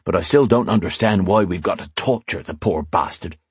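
A man voicing a gruff male soldier captain who speaks bluntly. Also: deep voice, brisk, dry recording. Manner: gruff, protesting.

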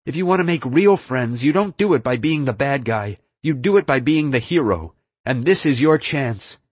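A man's voice: mid-range voice, animated, normal speed, husky, no echo, pitched above the performer's own voice. A man voicing an earnest young boy hero in an audiobook, urging someone on with emphatic conviction. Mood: urgent, earnest. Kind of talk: audiobook character acting.

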